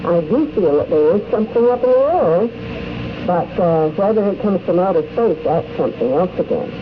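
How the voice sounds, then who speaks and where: A woman answers an on-site interviewer off the cuff, frankly and with some hesitation. The old radio recording is muffled, with clear noise throughout. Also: lowish voice, expressive, moderate pace. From New York State. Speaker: convention attendee being interviewed. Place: convention in the countryside. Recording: dull, very noisy 1950s radio recording.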